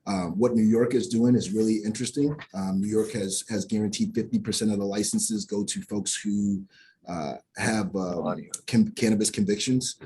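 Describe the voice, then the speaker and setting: A man giving public testimony at a hearing as a policy advocate, earnest and halting, with frequent fillers and restarts. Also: lowish voice, fairly even intonation, mellow, slightly rough, rough recording.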